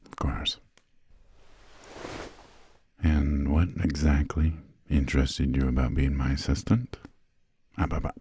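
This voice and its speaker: A man playing an imperious, centuries-old vampire lord in an ASMR roleplay, courteous but condescending, with formal, measured, soft speech and a breathy, gravelly voice close to the microphone. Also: a bass voice, no room sound, heavily acted. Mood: stern, intrigued. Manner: slow, measured, formal, haughty, drawn-out pauses. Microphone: close, dry studio microphone.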